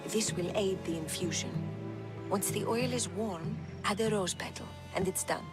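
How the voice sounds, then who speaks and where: An anxious enslaved shop woman of ancient Greece, acted by a woman in a game cutscene, speaks with reluctance. Her pitch is average and music plays clearly behind her. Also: normal speed, close to an everyday voice. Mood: resigned. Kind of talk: video game cutscene acting.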